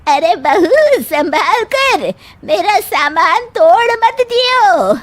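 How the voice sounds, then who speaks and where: Cartoon mother-in-law, woman performer: older, fussy, scolding, very high pitch, dragging pace. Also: very animated, extremely slow, dry recording, heavily acted. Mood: anxious scolding. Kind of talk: Hindi cartoon dubbing.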